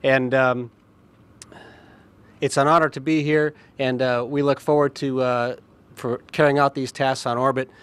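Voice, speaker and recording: A man's voice: mid-range voice, level tone, measured pace, long pauses, a bit bright, clear, resonant tone. A man who leads the spacewalks on the mission, giving a polite press statement and hesitating often. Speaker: astronaut, spacewalk lead.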